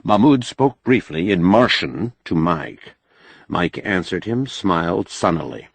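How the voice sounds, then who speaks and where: A low-pitched man narrates a novel as an audiobook reader. His delivery is expressive and varied, with a husky edge, recorded close and dry. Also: unhurried, no room sound. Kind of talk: scripted narration of a novel, with character voices.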